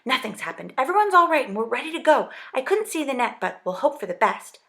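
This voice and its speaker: A woman voicing a brave, eager young boy in a storybook read-aloud, talking urgently and excitedly. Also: sits high, quick pace, gravelly, pitched above the performer's own voice, full character voice.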